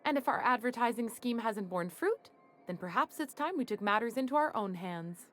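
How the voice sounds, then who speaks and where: An actress playing a composed female executive assistant who speaks in a dry, measured way. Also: a little high, expressive, fairly bright tone.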